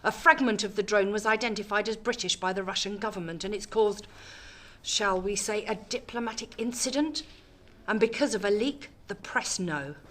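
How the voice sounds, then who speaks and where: A woman acting in a radio drama as a calculating, ambitious politician, measured, dry and self-assured. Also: mid-range voice, fairly bright tone, natural voice. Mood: cool, measured. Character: cool, ambitious, calculating female government politician.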